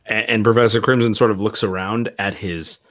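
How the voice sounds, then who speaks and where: A man's voice: fairly low pitch, steady tone, fairly quick, fairly bright tone, no echo, clean studio sound. A man playing a mystery role-playing game, describing his character's actions in a wordy, slightly formal way with restarted phrases.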